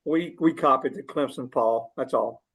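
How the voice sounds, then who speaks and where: A man's voice: mid-range voice, normal speed, a bit bright. A man chatting casually as a guest on a group video call, plain-spoken and earnest. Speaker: former team member, podcast guest.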